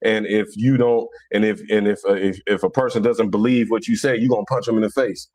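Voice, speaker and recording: A man's voice: fairly low pitch, steady tone, brisk, darkish tone, no room sound. A man with a Philadelphia accent hosting a casual live religious debate, answering viewers in a blunt, colloquial, mocking way. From Philadelphia, United States. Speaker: livestream host.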